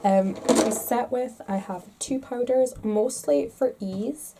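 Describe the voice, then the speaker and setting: A woman's voice: a little high, slow pace, very noisy. A woman vlogger talking casually to the camera in a chatty, rambling, self-deprecating way, a little congested with a stuffed-up nose. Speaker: makeup vlogger.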